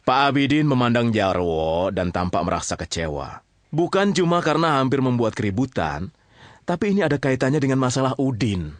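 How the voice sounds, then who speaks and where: A man's voice: medium pitch, big pitch swings, fairly quick, no room sound. A man with an Indonesian accent telling a story informally, often checking in with his listeners. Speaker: storyteller narrator addressing listeners.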